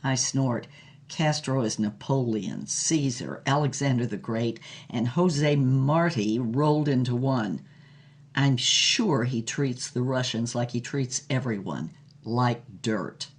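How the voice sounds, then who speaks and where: A woman playing a brash, flirtatious starlet who tells her own torrid tale in an audio drama, theatrical and knowing, with a husky voice. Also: very deep voice, slow, heavily acted. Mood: wry, animated. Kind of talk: dramatized first-person storytelling with character voices.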